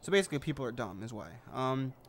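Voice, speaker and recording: A man's voice: medium pitch, expressive, fast, a little hiss or hum. A man giving casual, offhand commentary as he plays a video game, with a sarcastic streak. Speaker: gamer, let's play host.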